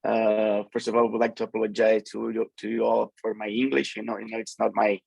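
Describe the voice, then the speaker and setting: A man's voice: fairly low pitch, flat delivery, quick pace, gravelly, a little mellow, slightly rough, no room sound, low-quality recording. A man in his forties whose first language is Portuguese, speaking English with a Brazilian accent as a webinar guest, hesitant with many fillers and restarts. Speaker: guest remediation specialist. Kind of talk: webinar talk.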